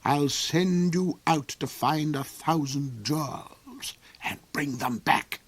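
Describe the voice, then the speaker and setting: A cold, sneering old duke, played by a male reader, who drawls every cruel word slowly. He savours his threats and lets them fall into silky, hissing whispers. The voice sits close and dry, with no room around it. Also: fairly high pitch, slightly rough, dry recording, pitched above the performer's own voice, exaggerated voice. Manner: slow, silky, mocking, drops into hissing whispers. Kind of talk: audiobook character voice.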